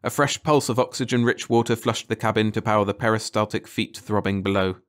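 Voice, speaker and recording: A man's voice: fairly low pitch, steady tone, fairly quick, a bit bright. A man reading a scripted story as an audiobook narrator.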